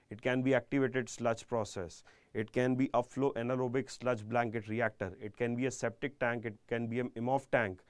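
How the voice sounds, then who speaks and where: A man with an Indian accent giving a live online exam-prep engineering lecture, speaking deliberately and emphatically and repeating key phrases. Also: mid-range voice, a little mellow, dry recording.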